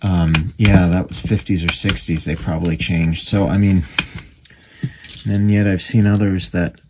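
A man giving casual hands-on repair commentary, halting and thinking aloud as he works at an indoor bench. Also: sits low, fairly even intonation. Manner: unscripted, halting, thinking aloud while working. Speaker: radio repairer demonstrating his work. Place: indoor workbench. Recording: noisy workshop recording with clicks.

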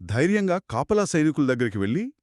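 A man co-narrating a children's moral story in an expressive, conversational way. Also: fairly high pitch, fast, no room sound, clean studio sound. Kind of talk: conversational two-person storytelling.

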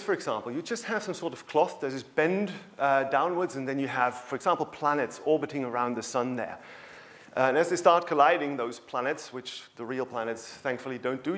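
A man giving a conversational science lecture in a large lecture hall, speaking into a handheld microphone with a storytelling manner. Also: medium pitch.

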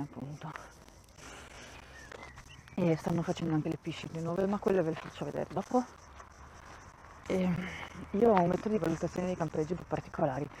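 A woman's voice: low-pitched, warm tone, a little hiss or hum, rough recording. A woman giving a casual walking tour of a campground, thinking aloud and often restarting her sentences, recorded on a handheld phone outdoors. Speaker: vlogger touring a campsite.

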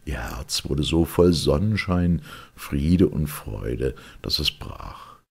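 Male audiobook narrator, extremely low pitch, very husky, solemn fairy-tale storytelling. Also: expressive, takes it slowly, a little mellow.

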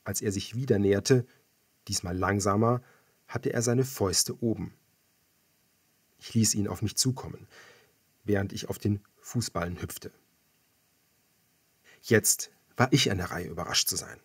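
A man reading scripted first-person thriller narration as an audiobook narrator, measured and dramatic. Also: on the low side, breathy edge, no echo, clean studio sound.

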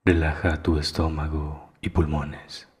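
A man narrating a guided meditation in a hushed, soothing, deliberate way with long pauses. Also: rumbling low, flat delivery.

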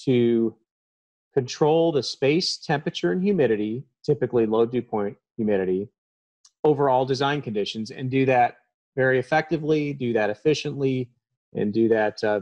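A man's voice: mid-range voice, moderate pace, darkish tone. A man in his thirties giving a technical presentation as an HVAC trainer, talking plainly with frequent ums, recorded over a webcam.

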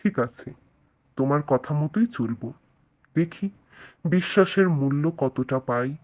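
A man's voice: fairly high pitch, expressive, unhurried, darkish tone. An actor voicing men of a cartoon fairy tale, a hunter and a king, in a theatrical, declamatory manner. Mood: sceptical. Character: male fairy-tale characters, a humble hunter and an imperious king.

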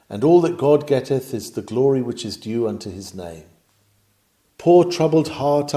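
A man's voice: medium pitch, mellow. A man reading out an old-fashioned scripted sermon in an oratorical, emphatic, dramatic manner. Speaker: narrator reading a historical sermon.

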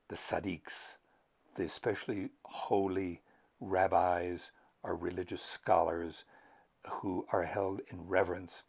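An older man with a very low, gravelly voice and a husky edge. He lectures to the camera like a professor, measured and thoughtful, pausing often. He is recorded close-up on a lapel mic. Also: a little mellow. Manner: measured, thoughtful, frequent pauses and fillers. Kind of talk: unscripted educational talk to camera.

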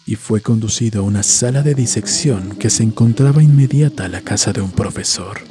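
Mellow-voiced man narrating a horror story. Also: medium pitch. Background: music.

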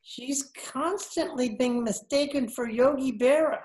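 A man's voice: extremely high pitch, a little slow, darkish tone, poor audio. A man voicing a gleeful, silly male sidekick with a giggly, gushing manner in old-time radio comedy. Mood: chirpy, eager. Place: indoor room at home. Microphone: computer mic on video call.

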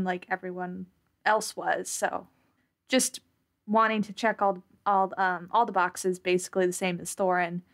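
A woman playing a tabletop game, casually and haltingly explaining what her character is thinking. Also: on the low side.

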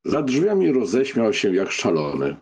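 A man's voice: lowish voice, wildly swooping pitch, measured pace, background noise. A man narrating a novel as scripted literary audiobook reading.

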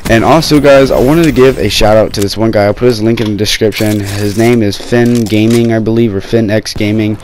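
A young man with a sore throat, talking low and rambling casually over gameplay footage on his gaming channel. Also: steady tone, brisk, few pauses, a little mellow, slight background noise. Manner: rambling, many fillers and restarts.

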